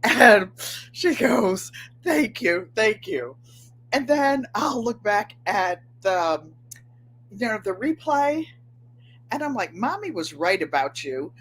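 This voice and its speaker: A woman in her seventies with a New England accent, slightly nasal and twangy, chatting excitedly to viewers as host of her livestream from a room at home. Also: mid-range voice, slow pace, a little hiss or hum. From Connecticut, USA. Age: 70s. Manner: chatty, excitable, gestures with hands. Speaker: channel host. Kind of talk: casual livestream chat.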